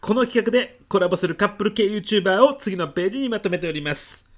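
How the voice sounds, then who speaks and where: Man playing an earnest middle-aged manager pitching girlishly. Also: quick pace. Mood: polite, businesslike. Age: 50s. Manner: polite business speech, theatrically expressive pitching. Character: earnest middle-aged male manager presenting in a young woman's girlish manner. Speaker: ad agency department manager giving a presentation. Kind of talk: comedic business radio drama acting.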